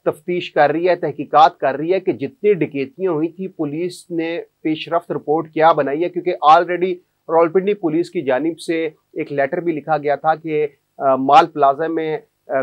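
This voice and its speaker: A man's voice: a little high, lo-fi sound. A man in his thirties with a Pakistani Urdu accent, presenting an investigative news report to camera in a studio on a lapel mic, earnest and questioning. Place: news studio in front of a screen. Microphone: clip-on lapel mic.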